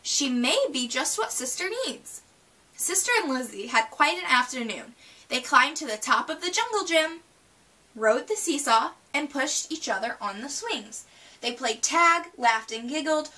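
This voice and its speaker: A woman reads a children's story in a high, very lively voice. Her tone is bright and expressive, with the odd stumble, and she sounds set back from the microphone. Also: moderate pace, far from the mic. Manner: expressive reading, stumbles over words. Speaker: storyteller reading aloud. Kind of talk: read-aloud of a children's picture book.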